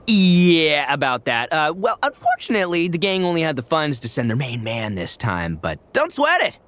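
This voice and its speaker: Cocky loudmouth gang boss. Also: male voice, a little high, wildly swooping pitch, moderate pace. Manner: loud, swaggering, slangy. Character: brash boastful male gang boss, loud and cocky. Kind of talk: game cutscene voice acting. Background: game music.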